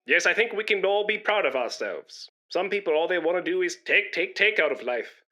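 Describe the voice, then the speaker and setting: Man acting pompous, boastful busybody, animated. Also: sits high, fairly quick. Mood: pompous, self-righteous. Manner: pompous, wheedling, self-important. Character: pompous, boastful, self-righteous male busybody blood donor. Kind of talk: comedy radio play acting.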